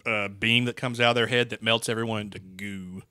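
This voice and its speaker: A man's voice: lowish voice, steady tone, a little fast, pushed and bright, no echo. A man co-hosting a casual movie podcast, stammering and rambling as he retells plots at length. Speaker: podcast co-host. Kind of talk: casual chat.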